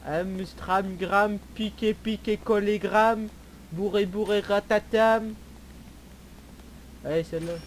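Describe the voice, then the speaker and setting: A man's voice: high-pitched, steady tone, slow, pauses often. A man improvising roleplay banter in an online game in a teasing, crude, clownish manner, often repeating his phrases. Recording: faint background noise.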